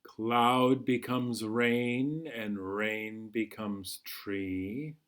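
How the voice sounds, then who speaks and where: A man hosting a children's storytime, reading a picture book aloud in a measured, singsong way. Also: on the low side, fairly even intonation, a bit bright, clean tone. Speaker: children's storytime host at a library.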